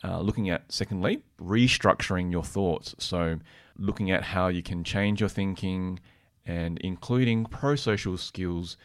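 Man, podcast co-presenter and therapist. Very deep register, measured, explanatory, conversational delivery. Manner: measured, explanatory, tentative when giving opinions.

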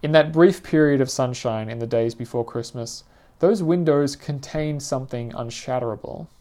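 A man's voice: mid-range voice, dark tone. Reflective man reading his essay. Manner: reflective, literary, measured reading. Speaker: writer reading own essay aloud. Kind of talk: scripted personal essay narration.

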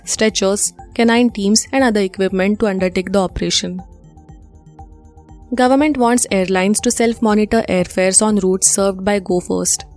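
A woman's voice: mid-range voice, a little mellow. A woman with an Indian accent presents scripted news updates as a newsreader.

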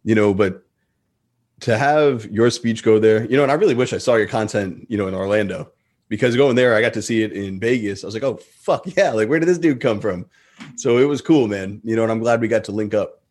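Male podcast host, fairly low voice. Casual, informal, affirming conversational delivery. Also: a little fast, a little mellow. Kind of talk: casual chat.

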